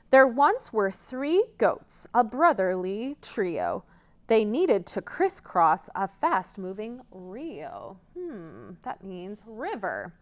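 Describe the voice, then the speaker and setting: A woman reading a children's story aloud as a library storyteller, expressive, pausing to ask and explain. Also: medium pitch.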